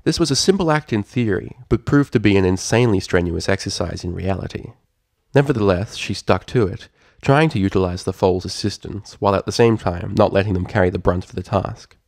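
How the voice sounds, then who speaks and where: A man's voice: low-pitched, fairly quick, creaky, a little mellow, no room sound, clean studio sound. A man reading fan fiction aloud as a scripted, dramatic story narrator.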